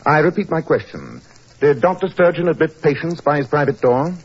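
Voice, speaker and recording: Incisive English detective, animated delivery. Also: male voice, on the high side, brisk, husky. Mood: probing, composed. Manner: incisive, precise, commanding. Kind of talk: 1940s radio acting. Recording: dull old 1940s radio recording.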